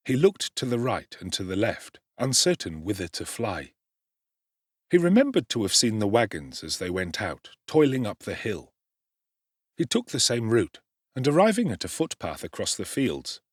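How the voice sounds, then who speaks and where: A male actor giving an expressive, theatrical reading as an audiobook narrator. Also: fairly low pitch, no room sound, clean studio sound.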